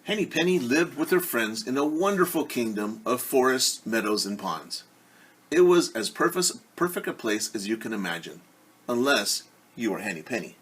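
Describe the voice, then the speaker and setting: Lively, husky male storytime teacher. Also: medium pitch, moderate pace. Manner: expressive, explaining simply. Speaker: teacher reading a children's story. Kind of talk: read-aloud storytelling with casual asides.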